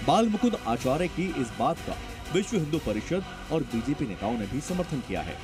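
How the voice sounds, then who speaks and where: A man's voice: fairly high pitch, very animated, moderate pace. A man narrating a scripted television news report in a dramatic, emphatic manner. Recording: voice-over with music bed.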